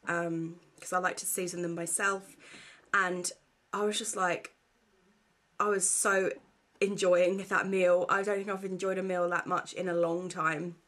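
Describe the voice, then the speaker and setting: A woman's voice: on the low side, fairly bright tone. A young woman, chatting casually to camera at home, rambling with lots of fillers, her nose blocked and congested. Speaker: vlogger.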